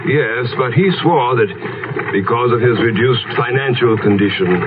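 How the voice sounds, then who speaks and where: A man acting a grave, deferential village vicar in a gothic radio drama, speaking in a solemn, formal, measured way. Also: mid-range voice, lightly acted. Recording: muffled, tinny, heavy noise behind.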